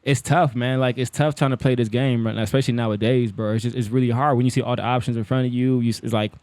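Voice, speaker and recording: A man riffing in a casual podcast chat, rattling along at a fast clip. His voice sits close and dry with almost no room sound, and he stays loose and slangy, laughing through his points. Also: mid-range voice, dry recording. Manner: slangy, animated, laughs while talking. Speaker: podcast co-host.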